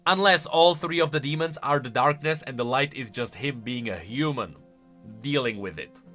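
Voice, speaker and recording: A man's voice: medium pitch, moderate pace, pushed and bright, faint music behind. A man hosting a game lore channel with casual reaction commentary, musing aloud and leaning hard on key words.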